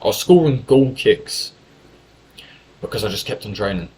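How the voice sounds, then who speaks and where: A man's voice: lowish voice, lively intonation, moderate pace, a little mellow, poor audio. Chatty young English guy, swearing freely. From England. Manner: talkative, swears freely, rambling and self-correcting. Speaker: YouTuber. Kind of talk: casual storytelling monologue.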